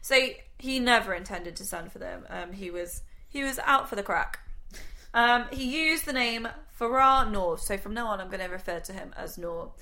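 A woman's voice: mid-range voice, normal speed, creaky, bright, forceful tone. A woman in her thirties co-hosting a podcast, chatty and sweary, telling a crime story. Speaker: podcast co-host. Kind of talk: casual chat, storytelling.

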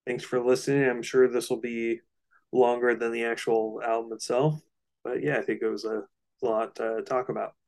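A man's voice: medium pitch, flat delivery, moderate pace, gravelly, darkish tone. A man co-hosting a podcast, explaining things informally in loosely phrased, casual discussion. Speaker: podcast co-host.

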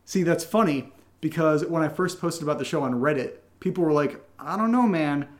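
A young man with a slightly high-pitched voice co-hosts a casual podcast. He chats off the cuff while answering questions, joking and keeping things informal. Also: a little fast. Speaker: podcast co-host and creator. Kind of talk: casual unscripted Q&A chat.

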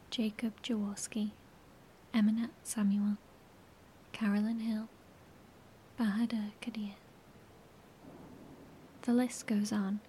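A woman playing a weary, sombre bunker leader speaking in a hushed, breathy, measured way in a horror audio drama. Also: mid-range voice, slight background noise, low-quality recording. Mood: mournful.

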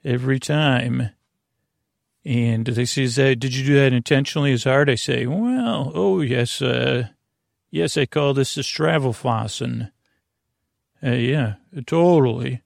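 A man hosting a bedtime podcast in a rambling, halting, gently silly monologue, trailing off mid-thought in a gravelly voice. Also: mid-range voice, dry recording, clean studio sound. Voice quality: creaky, gravelly. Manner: deliberately dull, gently silly. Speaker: podcast host.

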